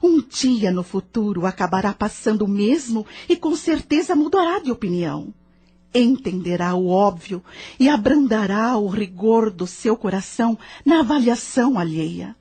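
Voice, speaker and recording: Atriz fazendo uma conselheira espiritual paciente, voz rouca. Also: female voice, medium pitch, lively intonation, mellow, slightly rough. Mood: patient teaching. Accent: Brazilian. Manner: measured, didactic, questioning. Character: patient, wise female spiritual counselor, gently probing. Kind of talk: dramatized audio novel dialogue.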